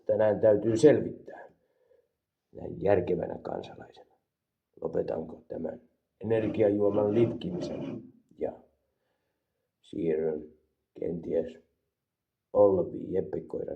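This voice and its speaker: A man from Finland with a Finnish accent, rambling deadpan through an absurd comedic product review and mixing languages. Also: deep voice, moderate pace, creaky, pauses often, phone-quality sound. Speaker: vlogger reviewing an energy drink.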